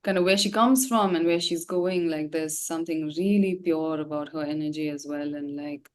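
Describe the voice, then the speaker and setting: A woman with a slightly low voice talks in a loose, casual way. She is effusive and slangy, like a musician chatting in an interview. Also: moderate pace. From India. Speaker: singer and musician, interview guest. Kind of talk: casual chat.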